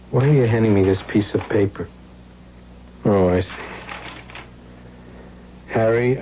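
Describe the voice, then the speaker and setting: A man's voice: on the low side, close to an everyday voice. An actor playing a troubled, self-doubting husband in an old-time radio drama, plaintive and given to repeating his words. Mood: resigned. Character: troubled, self-doubting husband who turns bitter and defiant. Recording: muffled, noisy old radio recording.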